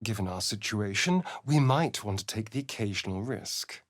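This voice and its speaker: A man's voice: lowish voice, animated, normal speed, no echo, clean studio sound, lightly acted. An actor playing a sly, vain, sardonic male vampire rogue in a video game, with an arch, drawling, theatrical delivery. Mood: measured. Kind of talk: theatrical video game character lines and narration.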